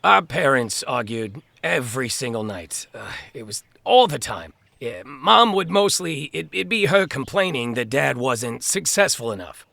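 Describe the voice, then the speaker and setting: An actor playing a plain-spoken older brother from New Jersey in a mock-documentary interview, halting and repeating himself for emphasis. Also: male voice, mid-range voice, sing-song, gravelly, pushed and bright, no room sound, full character voice. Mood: exasperated, candid.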